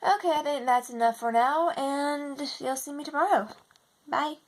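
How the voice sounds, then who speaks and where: A young woman with a slightly raspy voice, chatting in a casual video diary and rambling with lots of fillers and restarts. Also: high voice, moderate pace. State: slightly raspy from seasonal congestion. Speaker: vlogger.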